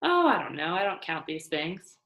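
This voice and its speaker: Woman reading as a gruff, dismissive motel boss. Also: on the low side, big pitch swings, normal speed, fairly bright tone, roomy sound. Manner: blunt, no-nonsense.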